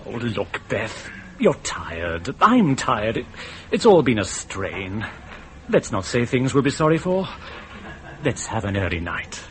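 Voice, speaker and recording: An exasperated young newlywed in a 1970s radio drama. His mid-pitched voice swings up and down a lot, on an old recording with slight noise. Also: big pitch swings, slow pace, a bit bright. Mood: weary, placating.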